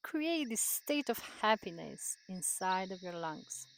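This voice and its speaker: A woman leading a guided meditation in a soothing, gentle manner, with drawn-out phrases and long pauses. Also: medium pitch, very animated, creaky, no echo, rough recording. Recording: voice over prominent background music. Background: meditation music.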